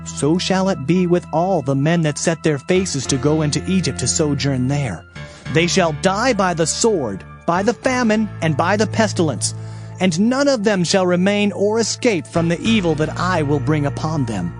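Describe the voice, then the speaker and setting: Male actor as scripture-drama prophet: high voice, solemn and emphatic, impassioned warnings, music behind. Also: expressive, moderate pace, lightly acted. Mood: stern warning. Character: stern Hebrew prophet delivering God's warnings, impassioned.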